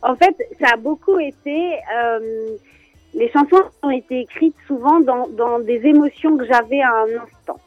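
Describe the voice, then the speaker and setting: A French woman with a French accent, a children's singer chatting as a radio guest, lively and quick to laugh. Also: sits high, no room sound, narrow, tinny audio, faint music behind. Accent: French with Alsatian touch. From France. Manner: animated, laughs often, many fillers. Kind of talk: casual radio interview chat.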